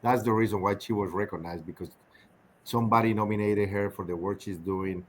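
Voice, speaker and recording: A man's voice: on the low side, steady tone, fairly quick. A man on an interview panel, earnest and emphatic as he adds context. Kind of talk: casual interview.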